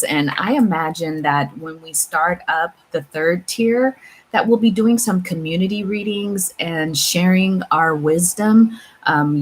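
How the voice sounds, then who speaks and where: A woman hosting a tarot channel, talking casually and reflectively to viewers about spiritual matters, with halting pauses and repeats. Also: fairly low pitch. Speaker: tarot reader and channel host. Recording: clear steady background room noise.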